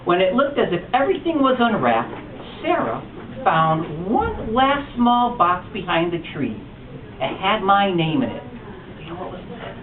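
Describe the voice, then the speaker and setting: Animated man reading to kids, far off. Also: high voice, moderate pace. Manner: animated, expressive storytelling. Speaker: storytime reader. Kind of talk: reading a picture book aloud to children. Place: bookstore storytime. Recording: distant echoey lo-fi room recording with noise.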